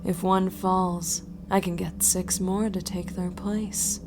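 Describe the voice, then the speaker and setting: A woman's voice: lowish voice, level tone, music in the background, low-quality recording. A woman playing a cold, menacing mercenary boss in an audio drama, condescending and mockingly polite. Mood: cold menace. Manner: deliberate, condescending, breathy at times.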